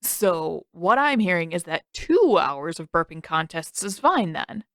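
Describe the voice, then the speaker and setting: A woman narrator acts a complaining teenage boy in an audio storybook. He is sarcastic and grumbly, arguing with lively swings of pitch. The sound is very dry. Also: a little slow, dry recording. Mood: argumentative.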